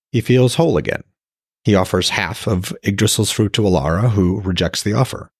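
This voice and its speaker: Low-pitched man narrating, close and dry. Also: no echo. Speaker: author, narrator and podcast host. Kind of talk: scripted audiobook narration and commentary.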